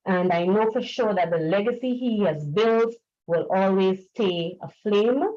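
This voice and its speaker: A woman giving a prepared, formal eulogy over a video call in an earnest, measured way. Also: mid-range voice, dark tone. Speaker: speaker at a memorial seminar. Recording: muffled video call audio.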